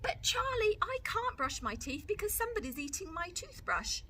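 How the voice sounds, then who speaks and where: Woman voicing a high, stubborn little girl. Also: big pitch swings, normal speed, bright, forceful tone, slight background noise, heavily acted. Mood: earnest excuse-making. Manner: insistent, excuse-making, chattering. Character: very small funny little sister, stubborn and imaginative.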